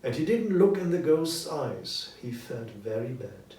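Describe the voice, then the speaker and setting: A man narrating a story expressively from a script, with dramatic, clearly separated phrases. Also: mid-range voice, very animated, a little slow, warm tone. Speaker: narrator, audiobook reader. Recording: roomy, distant, faint background noise.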